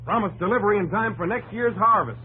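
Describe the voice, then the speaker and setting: An actor playing a confident, businesslike American inventor pitching his machine with assertive ease in an old radio drama. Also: fast, sharp tone, a little hiss or hum, rough recording. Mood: confident, brisk. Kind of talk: 1950s radio drama acting.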